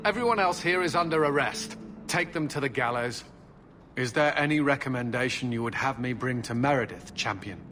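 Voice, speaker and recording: A man's voice: medium pitch, lively intonation, fairly quick, sharp tone, a little hiss or hum. A man playing a skeptical military knight officer in a video game, formal, brusque and commanding. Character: stern, skeptical male templar officer. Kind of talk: dramatic video game acting.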